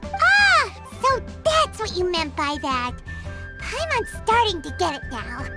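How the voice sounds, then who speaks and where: A woman voicing a tiny, excitable, childlike fairy companion in a video game, squeaky and bubbly. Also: slow, music in the background, full character voice. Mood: excited, curious. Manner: refers to self in third person, bubbly. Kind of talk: video game character dialogue.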